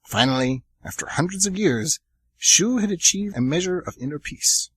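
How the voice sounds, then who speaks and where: Male narrator for an audio drama, animated delivery, a bit breathy. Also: fairly high pitch, moderate pace. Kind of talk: scripted storybook narration.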